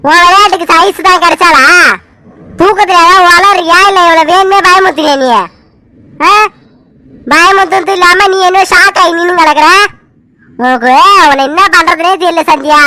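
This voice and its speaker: A woman's voice: extremely high pitch, steady tone, moderate pace, pushed and bright, heavily acted. A woman voicing a bossy, protective old grandmother in a family story, nagging and giving orders. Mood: scolding. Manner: nagging, commanding. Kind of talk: dramatised family story dialogue.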